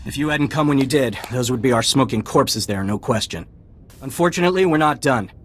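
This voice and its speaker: An actor playing an army lieutenant in a video game, giving urgent commands. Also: male voice, medium pitch, expressive, fairly quick, a bit bright, a little hiss or hum, natural voice. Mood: determined. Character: brisk male Republic army lieutenant. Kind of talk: game cutscene acting.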